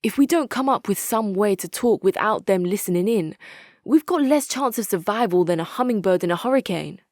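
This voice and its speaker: An actress playing a hard-nosed, articulate female military commander in a strategy game, speaking in a measured, wry, authoritative way. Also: mid-range voice, expressive, a little mellow, dry recording, studio quality, close to an everyday voice. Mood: blunt, firm. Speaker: video game faction leader. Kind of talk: dramatic scripted game quotes.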